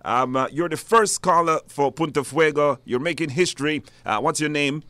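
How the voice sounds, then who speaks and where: A man's voice: on the high side, normal speed, pushed and bright, dry recording. A man with a Belizean accent hosting a live radio call-in show, putting questions to callers. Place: radio studio.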